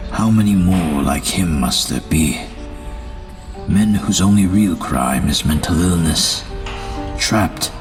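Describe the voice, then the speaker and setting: Man as extremely deep, somber haunted psychiatrist. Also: heavily acted. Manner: measured, solemn. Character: somber haunted male 1920s psychiatrist reading his journal. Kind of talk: first-person audio drama narration.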